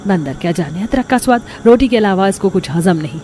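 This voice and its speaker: A woman's voice: medium pitch, animated, a little fast, mellow, natural voice. A woman voicing an exasperated, grumbling mother in a cartoon story. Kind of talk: Hindi cartoon story acting.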